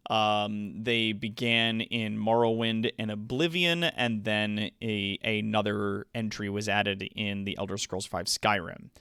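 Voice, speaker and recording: A man chatting as a livestream host. His voice is smooth and unhurried, recorded dry, and he explains in a casual, playful, slightly hesitant way. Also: on the low side, fairly even intonation, pushed and bright, no echo.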